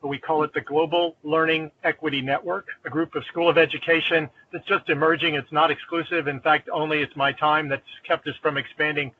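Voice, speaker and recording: A man's voice: on the high side, flat delivery, a little fast, few pauses. East Coast US man on a phone line. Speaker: dean of education. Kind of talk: conference call remarks. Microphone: phone or conference line. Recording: tinny phone line with faint noise.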